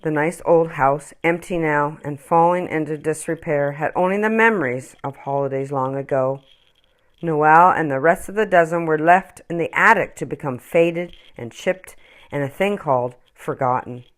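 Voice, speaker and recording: A woman reading a children's picture book aloud as a storyteller, expressively and with dramatic pauses. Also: deep voice.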